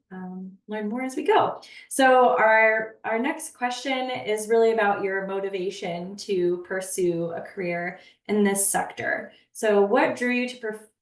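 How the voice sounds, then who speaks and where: A woman's voice: mid-range voice, roomy sound, recorded from a distance. A woman moderating a panel, thanking the speakers and posing the next question.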